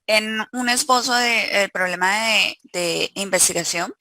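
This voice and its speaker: Woman, academic presenter, hesitant with fillers, bright voice, video call audio. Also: medium pitch, no echo. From Colombia. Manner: hesitant, many filler sounds. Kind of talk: conference talk over video call.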